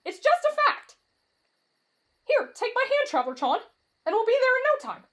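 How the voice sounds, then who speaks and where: A woman's voice: sing-song, moderate pace, a bit bright, full character voice. A woman voicing an anxious, cowardly, whiny young man with dramatic exclamations in an anime fan audio roleplay. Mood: nervously coaxing. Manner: shrill, dramatic, shrieking exclamations.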